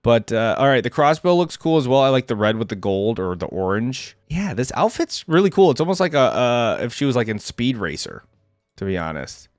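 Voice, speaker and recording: Male reaction host, mid-pitched and lively in intonation, casual, rambling commentary, dry sound. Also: a little fast, no echo. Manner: rambling, thinking aloud. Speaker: video game reaction host.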